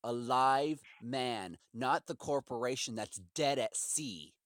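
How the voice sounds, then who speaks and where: A man's voice: medium pitch, slow, a bit bright, no room sound, clean studio sound. A man arguing in an impassioned, rambling way as a caller, sounding aggrieved and preachy, with heavy emphasis. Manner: emphatic, aggrieved, preachy, acts out imagined quotes. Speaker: caller to customer service. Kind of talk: impassioned rambling argument on a phone call.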